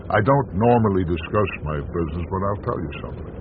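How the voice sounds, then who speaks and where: A man's voice: deep voice, lively intonation, normal speed. An actor playing a world-weary, bitterly sardonic small-town American newspaperman in a radio drama, speaking with theatrical deliberation. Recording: dull lo-fi radio broadcast, noisy.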